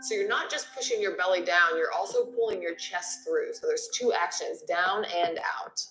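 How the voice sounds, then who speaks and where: A woman's voice: lowish voice, moderate pace, fairly bright tone, echoey room, soft background music, rough recording. A woman instructor guiding a yoga class in a conversational, encouraging way, giving step-by-step movement and breathing cues.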